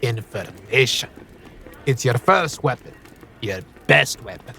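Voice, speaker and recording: An actor playing a seasoned, wry gambler mentor in a fantasy audio drama, colloquial and instructive. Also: male voice, medium pitch, lively intonation, slow pace, vocal fry, pushed and bright, slight background noise, full character voice. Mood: serious, mentoring.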